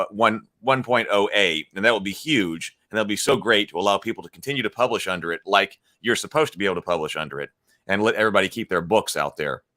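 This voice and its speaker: A man's voice: on the low side, sharp tone. A man hosting a casual live stream, thinking aloud with frequent restarts and fillers and answering viewers' chat. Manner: reads viewer chat comments aloud and replies. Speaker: livestream host.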